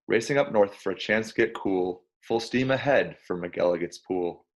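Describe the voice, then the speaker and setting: A man's voice: fairly low pitch, normal speed. A man in his early twenties who speaks casually, as a guest chatting or reading a children's storybook aloud. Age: early 20s. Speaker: college basketball player, guest. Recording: dull lo-fi sound.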